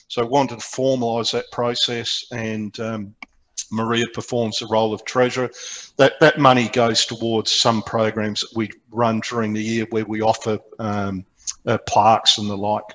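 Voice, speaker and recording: Aussie guy doing a club slide talk on a video call, mid pitch, explains stuff informally, lots of ums. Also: fairly bright tone, low-quality recording. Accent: Australian. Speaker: presenter, amateur radio programme coordinator. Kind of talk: slide presentation to a club meeting. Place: remote video meeting, speaking from indoors.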